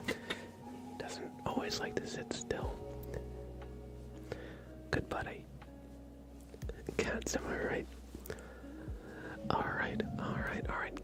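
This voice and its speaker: A man whispers casually into a close microphone as an ASMR streamer. His delivery is slow and soft, with loud music playing behind him. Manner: whispered, soft-spoken, unhurried. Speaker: ASMR livestream host. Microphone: close, sensitive microphone.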